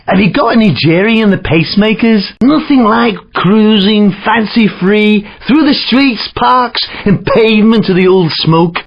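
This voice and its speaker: A laid-back British bloke comments on races in a video game. His voice is husky and rough, his talk chatty and colloquial. The recording is a little dull and lo-fi. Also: extremely high pitch, heavily acted. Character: laid-back, slangy British bloke, city cruising guide. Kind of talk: casual racing game commentary. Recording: slightly dull lo-fi game audio.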